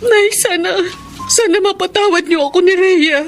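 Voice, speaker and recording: A woman's voice: very high voice, moderate pace, faint music behind. A woman playing a remorseful, pleading runaway mother in an emotional Filipino radio drama.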